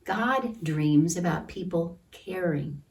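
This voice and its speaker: Lady reading a kids picture book out loud, lowish voice, really slow and gentle, mic kinda far away. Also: animated. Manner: gentle, deliberate, pausing to comment on pictures and ask questions. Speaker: children's storytime reader at a church. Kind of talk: read-aloud picture book storytime for children. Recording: distant microphone.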